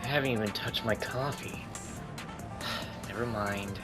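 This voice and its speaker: A young man livestreaming a game, chatting casually in a griping, profanity-laced, animated way. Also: fairly low pitch, a little slow. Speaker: game streamer. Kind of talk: casual livestream chat over gameplay. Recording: loud game music behind the voice.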